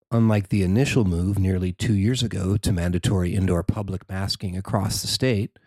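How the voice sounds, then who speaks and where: A man's voice: lowish voice, dark tone, no echo. An American man reading a scripted news report as a reporter. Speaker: reporter reading own written article.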